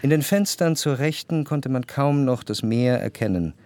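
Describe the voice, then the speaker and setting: A man acting as a refined, reflective narrator who recalls society life in measured, lyrical radio-play narration. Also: medium pitch, animated, a little mellow, lightly acted. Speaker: first-person narrator of a radio drama.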